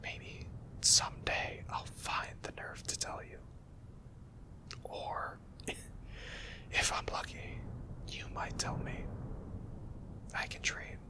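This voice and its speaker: A man's voice: slow pace, pauses often. A man whispering a tender, hesitant confession as a boyfriend in an ASMR roleplay.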